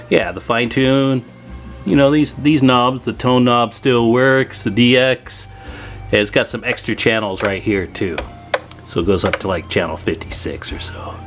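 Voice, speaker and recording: A man hosting a hobby video, talking through a hands-on demonstration in an informal, chatty, slangy way. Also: mid-range voice. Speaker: host of a CB radio repair video.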